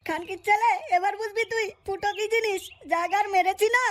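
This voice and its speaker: Casting: foul-mouthed, lovesick young schemer for comic cartoon, very high squeaky voice, excitable, slight background noise. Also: a little fast. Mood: sly, gloating. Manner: crude, swearing, excitable.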